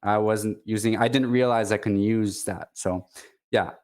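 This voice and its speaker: A man's voice: fairly low pitch, fairly even intonation, a little mellow. A man from Quebec with a Quebecois French accent, a native French speaker chatting casually as a guest, stopping and restarting often. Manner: halting, many restarts and fillers. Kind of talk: casual chat.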